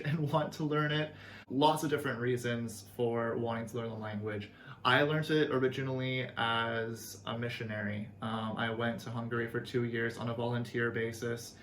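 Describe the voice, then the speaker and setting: A man's voice: medium pitch, fairly bright tone, a little hiss or hum. A young Canadian man, a language teacher, explaining things in a friendly, earnest way with some fillers. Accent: Canadian English. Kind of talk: casual video lesson. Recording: echoey room, faint ambience.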